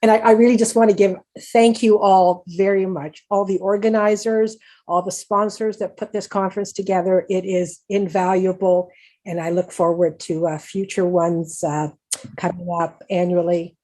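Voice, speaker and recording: A woman's voice: mid-range voice, level tone, normal speed, mellow. A woman in her sixties with a Canadian accent, giving a personal conversational presentation as a patient speaker, candid and informal. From Canada, raised in Hamilton, Ontario.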